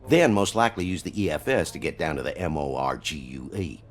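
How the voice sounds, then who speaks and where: An actor playing an eager, self-important security guard in a video game, talking pompously in mimicked police jargon. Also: male voice, a bass voice, brisk. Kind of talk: comic video game voice acting.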